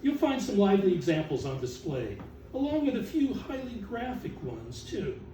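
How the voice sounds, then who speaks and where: Distant roomy recording, man, high-pitched voice, dramatic recitation, deliberate emphatic phrasing. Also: very animated, mellow. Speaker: author and exhibition curator reading aloud. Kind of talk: dramatic recitation of catalog text to an audience. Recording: roomy, distant mic with background noise.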